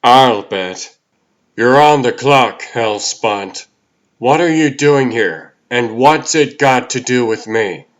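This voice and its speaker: Guy doing a wary superhero for a comic dub, talks slow n firm, dry, not impressed. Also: mid-range voice, lively intonation. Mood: defiant. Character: defiant, wary adult superhero, plainspoken and stern.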